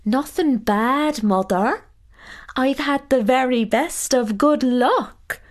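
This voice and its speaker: A woman's voice: sits high, slow, voice pushed up. A woman voicing an earnest young country lad, speaking eagerly.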